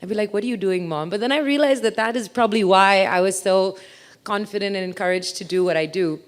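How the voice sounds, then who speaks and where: A woman's voice: medium pitch, quick pace. Chatty woman with a mixed accent. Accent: mixed international English, from a childhood abroad. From India. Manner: chatty and self-mocking, with many fillers. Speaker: speaker. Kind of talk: motivational stage talk. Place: stage talk to a live audience.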